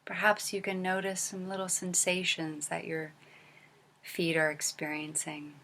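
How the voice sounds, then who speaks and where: A woman leading a guided body scan meditation as a teacher, speaking gently and unhurriedly with long pauses and soft, breathy phrase endings. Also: fairly low pitch, completely flat delivery.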